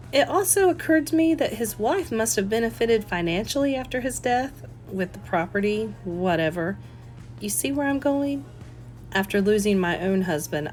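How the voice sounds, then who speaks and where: A woman's voice: mid-range voice, darkish tone, natural voice. A woman voicing an earnest, persistent and polite widow playing amateur sleuth in an audiobook, with a lilting, emphatic delivery. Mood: insistent. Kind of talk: audiobook character voice.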